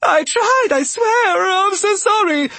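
A timid giant rabbit girl from a fantasy storybook, acted out by a man. The voice leaps very high into a pleading, frightened wail. It is meek and polite even in panic. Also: slow, vocal fry, no echo, heavily acted. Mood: panicked wailing.

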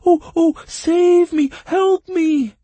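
A man voicing a small, plaintive bog creature with a shrill voice, wailing in broken, childlike phrases in a storybook reading. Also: fairly even intonation, very slow, warm tone, dry recording, heavily acted. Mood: frantic pleading. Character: small plaintive male bog creature, fish thief, whiny and pitiful.

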